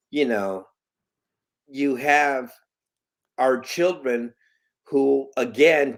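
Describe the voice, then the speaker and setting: A man in his late fifties talks through an interview as a concerned parent. His delivery is halting and earnest, full of restarts and fillers, and turns emphatic at points. His voice sits in an ordinary middle pitch. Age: 50s. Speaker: guest, parent. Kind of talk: conversational interview.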